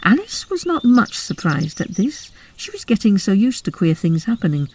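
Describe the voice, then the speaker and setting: A woman giving an expressive, theatrical reading of literary narration and verse. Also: mid-range voice, moderate pace, a little hiss or hum. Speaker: narrator and poetry reader. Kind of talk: literary narration and dramatic recitation.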